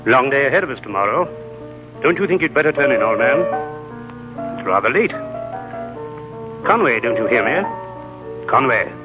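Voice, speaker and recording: An actor playing a well-spoken, genteel writer, speaking crisply. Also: male voice, mid-range voice, very animated, moderate pace, pauses often, music in the background, natural voice. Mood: concerned. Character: brisk English writer, genteel, solicitous. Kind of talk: 1930s radio drama acting. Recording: narrow lo-fi old radio broadcast.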